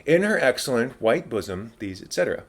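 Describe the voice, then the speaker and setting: Male audiobook reader, middle pitch, declamatory dramatic delivery of classic stage verse. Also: very animated, brisk. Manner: theatrical, declamatory. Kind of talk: dramatic reading of a classic play.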